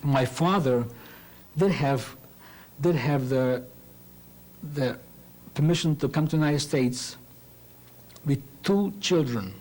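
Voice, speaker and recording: Older Hungarian-born man, halting testimony. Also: medium pitch, a little mellow. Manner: halting, repeats words while searching. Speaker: interviewee. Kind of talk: recalled testimony.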